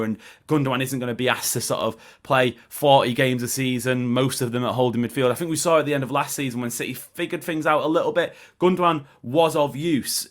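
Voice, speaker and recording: A man hosting a football podcast, his voice croaky, chatting loosely and correcting himself as he goes. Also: mid-range voice. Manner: chatty, rambling with self-corrections. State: croaky throat. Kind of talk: casual solo commentary.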